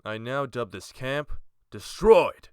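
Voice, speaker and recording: A man's voice: mid-range voice, animated, slow pace, darkish tone, smooth, dry recording, clean studio sound. A man voicing a proud, formal mage leader in a fantasy audiobook, speaking in a declamatory way. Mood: proclaiming.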